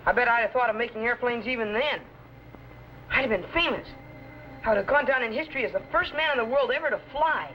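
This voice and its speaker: A young male actor playing an eager, daydreaming teenage boy assistant in a 1950s sci-fi serial, earnest and excitable. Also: very high-pitched, quick pace, muffled recording. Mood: dreamy. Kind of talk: 1950s television serial acting. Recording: old soundtrack with faint noise.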